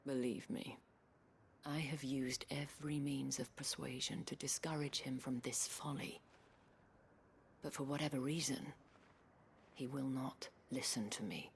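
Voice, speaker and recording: An actress playing a worldly, anxious dame in a video game, measured, eloquent and weary, with a breathy, hushed delivery. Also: female voice, rumbling low, fairly even intonation, slight background noise. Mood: bitter, resigned. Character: worldly, anxious dame looking after her wards. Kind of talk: scripted video game drama.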